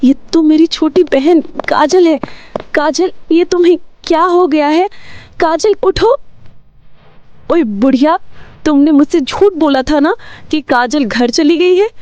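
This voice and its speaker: A woman's voice: high-pitched, measured pace, pauses often, a little mellow, dull audio. A woman playing a frightened, suspicious and determined young wife in a dramatised horror story. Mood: shocked, accusing. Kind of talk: dramatised Hindi moral horror story narration.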